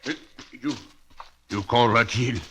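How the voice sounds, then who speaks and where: An actor playing a superstitious, earnest bayou boatman with a Cajun accent and halting broken English, in old radio drama. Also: mid-range voice, steady tone, a bit bright, slight background noise. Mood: earnest, fearful. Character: superstitious bayou boatman, loyal family servant, simple and earnest. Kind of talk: 1940s radio drama acting.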